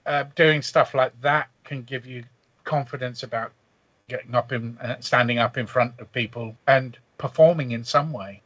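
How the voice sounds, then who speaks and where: A man chatting as a guest on a podcast, telling stories in a relaxed way with many fillers. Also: medium pitch, normal speed, dry recording. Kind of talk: casual panel chat. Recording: dull dry remote audio.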